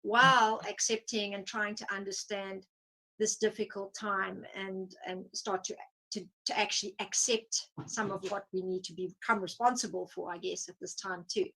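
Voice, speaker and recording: A woman psychotherapist guest from South Africa, explaining her work in a thoughtful, measured way in an interview. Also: medium pitch, fairly even intonation, creaky, pushed and bright, rough recording. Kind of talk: interview conversation.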